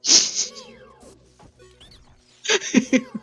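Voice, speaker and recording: A woman doing casual live commentary on a game tournament, chatty and quick to laugh as she reads out clues. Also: bright, forceful tone, faint music behind.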